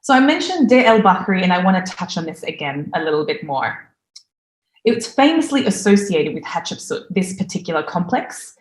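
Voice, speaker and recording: A woman in her thirties gives a recorded talk from a home room, speaking at a middle pitch with plenty of eagerness. Her voice rings a little in the room around the webcam microphone, so the sound is roomy rather than close. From Australia. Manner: enthusiastic, lively presenter. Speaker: conference speaker.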